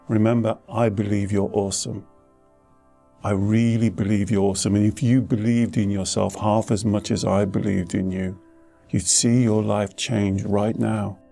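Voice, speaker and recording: A man speaking as a meditation teacher, giving gentle guided instruction in an unhurried, reassuring manner with pauses between short phrases. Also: lowish voice, gravelly. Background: soft instrumental music.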